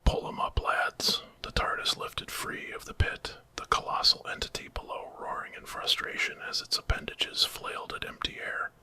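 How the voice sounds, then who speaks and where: A man's voice: normal speed, few pauses, pushed and bright. A man narrating a horror story as whispered ASMR, in a dramatic storytelling manner.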